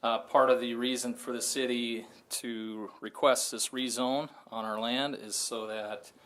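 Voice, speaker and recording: An American man, a public works director, delivering a formal staff report in a halting, matter-of-fact manner. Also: mid-range voice, steady tone, a bit bright.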